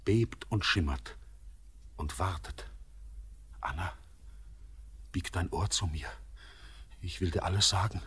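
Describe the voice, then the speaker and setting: A haunted young man in a verse radio play. His voice sits low and is husky and breathy. He speaks haltingly, with brooding, theatrical intensity. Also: exaggerated voice. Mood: secretive, choked. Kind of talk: poetic German radio drama acting.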